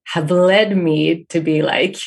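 A woman in her thirties, born in Finland and raised in Sweden, speaking English as a later language as an energetic podcast guest who laughs often. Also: lowish voice, takes it slowly, gravelly, husky. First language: Finnish or Swedish. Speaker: real estate investor, podcast guest. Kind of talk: casual interview.

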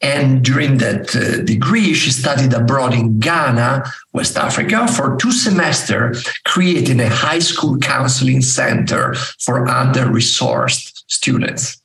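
Guy reading a guest intro slow and careful, kinda gravelly, roomy echo. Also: mid-range voice, a bit bright. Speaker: podcast co-host.

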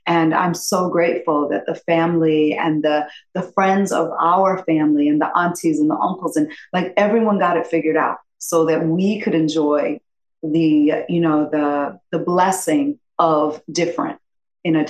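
A woman's voice: low-pitched, normal speed, reverberant, low-quality recording. A woman in her fifties from upstate New York, a podcast guest chatting in a rambling, effusive way and laughing often. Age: 50s. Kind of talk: casual interview chat.

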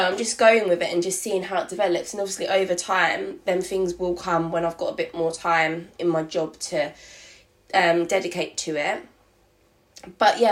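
A young woman hosting her own podcast in casual, rambling chat, a little croaky from a sore throat. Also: on the low side. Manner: chatty, rambling, many ums and likes. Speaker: podcast host.

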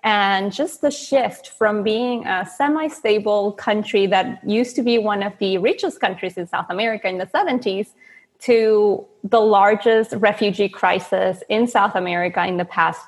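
A woman's voice: medium pitch, in a large hall. A woman from Venezuela in her thirties, a coach telling her story earnestly in a podcast interview. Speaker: guest, manifestation coach.

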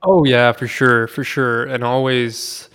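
Gravelly Swedish-raised man, rambling. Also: mid-range voice, slow pace. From Sweden. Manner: relaxed, rambling with many fillers and restarts. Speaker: guest. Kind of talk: casual podcast interview.

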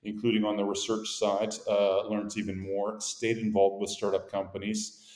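A middle-aged man with a Canadian accent, a company founder telling his career story in measured, articulate sentences. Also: on the low side, monotone, a little mellow. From grew up in Montreal, born in Boston. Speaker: guest, company founder and CEO. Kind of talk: interview conversation. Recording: echoey room.

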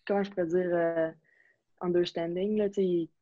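A woman's voice: fairly low pitch, normal speed, dry recording. Chatty young woman with a Quebec accent. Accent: Quebec French. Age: young adult. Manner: relaxed and chatty, with many fillers and restarts. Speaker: interview guest, university hockey player. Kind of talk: casual conversational interview. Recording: slightly dull, lo-fi sound.

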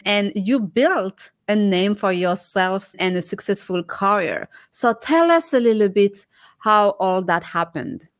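A woman's voice: medium pitch, lively intonation, unhurried, no room sound, clean studio sound. A woman hosting a leadership podcast, reading an upbeat promotional introduction and then putting questions to her guest. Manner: upbeat, promotional, multilingual greetings. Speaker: podcast host. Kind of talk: scripted podcast intro and interview.

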